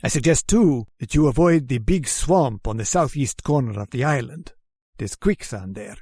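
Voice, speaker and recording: Radio drama villain, a gentleman hunter of men; mock-polite and theatrical, gravelly edge, dry close sound. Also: mid-range voice, lively intonation, normal speed, no room sound. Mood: smug, menacing courtesy. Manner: theatrical, formal, mockingly courteous. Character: genteel, sadistic aristocratic general who hunts men, gloating and playful. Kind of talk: old-time radio drama acting.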